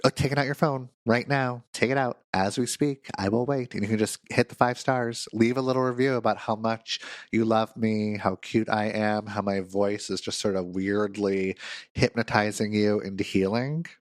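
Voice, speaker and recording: Male therapist host, low nasal voice, sick and congested, dry close mic, playful. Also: fairly even intonation, normal speed, dry recording. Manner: playful, self-mocking, chatty. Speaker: counselor and podcast host. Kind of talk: solo podcast monologue.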